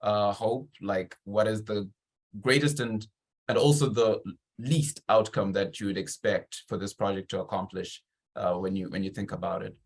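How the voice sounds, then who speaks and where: Male interviewer, English, slightly low voice. Informal and appreciative, builds questions at length. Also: fairly even intonation, moderate pace, gravelly. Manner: encouraging, appreciative, wordy questions. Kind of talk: conversational interview.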